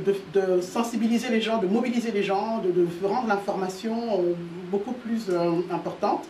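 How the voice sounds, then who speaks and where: A woman's voice: medium pitch, a little mellow. A woman from Italy, a guest talking about her association, speaking hesitantly with many fillers and repeated words. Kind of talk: interview answers. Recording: roomy sound from a distant mic with faint noise.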